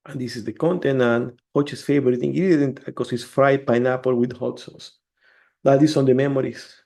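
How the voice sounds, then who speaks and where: A man walking through a software demo step by step on a screen share, often restarting his words. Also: medium pitch, fairly even intonation, moderate pace, creaky. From El Salvador. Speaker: developer presenting a demo.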